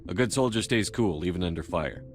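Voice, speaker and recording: Steady military commander, deep voice, quick delivery, firm, video game dialogue. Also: male voice, a little hiss or hum. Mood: calm, firm. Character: calm, authoritative male military commander.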